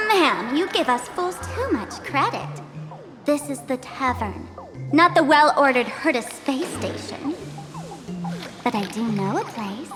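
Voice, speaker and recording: A mischievous young woman trickster from a video game, high-pitched and very animated. She is teasing and wry, drawing her words out over background music. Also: a little slow. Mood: teasing, playful. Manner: teasing, wry, self-mocking.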